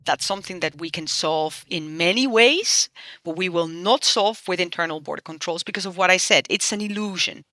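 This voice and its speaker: Spanish-born woman, bright earnest voice. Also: fairly low pitch, normal speed, no echo, studio quality. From Spain. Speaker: member of the European Parliament. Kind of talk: interview answers.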